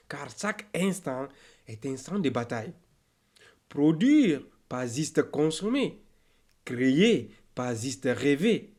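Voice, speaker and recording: A man reciting a motivational poem in a declamatory, exhortative way, in short measured phrases with deliberate pauses. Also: fairly high pitch, darkish tone.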